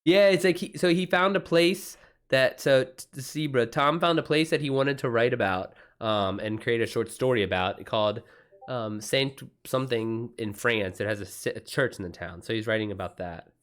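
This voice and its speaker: A man's voice: medium pitch, normal speed, a bit bright. Casual, informal man thinking aloud. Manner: informal, thinking aloud, bro-style slang. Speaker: livestream host and author giving writing advice. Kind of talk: casual unscripted livestream chat.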